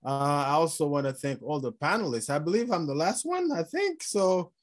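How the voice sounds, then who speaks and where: A man in his fifties with a Haitian accent, speaking as a panelist on a video call from his office, chuckling often and pausing on fillers. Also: fairly high pitch, big pitch swings. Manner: warm, chuckling, unhurried with many fillers. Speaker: panelist, chief medical officer. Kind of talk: conference talk over video call. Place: office with blinds and glasses display. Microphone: video call webcam.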